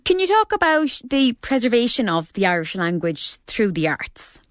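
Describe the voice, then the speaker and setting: A woman interviewer asking measured, formal questions. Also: fairly high pitch, very animated, no room sound, clean studio sound.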